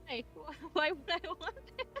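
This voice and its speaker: A woman's voice: extremely high pitch, expressive, moderate pace, sharp tone. A woman chatting casually as a player in a tabletop role-playing game. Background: music.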